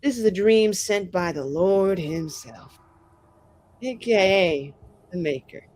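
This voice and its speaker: A woman's voice: lowish voice, animated, takes it slowly, darkish tone, a little hiss or hum. A woman telling an improvised tabletop roleplay scene casually and dramatically, colloquial and sweary. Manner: dramatic, profane, colloquial with many "like"s.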